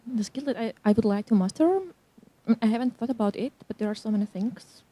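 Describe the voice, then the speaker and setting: A woman from Armenia introducing herself at a workshop, soft and thoughtful. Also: fairly high pitch, fairly quick. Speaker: workshop participant.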